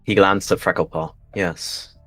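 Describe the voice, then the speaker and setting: A man's voice: deep voice, steady tone, fairly quick, faint music behind. A man narrating his character's actions in a descriptive roleplay game.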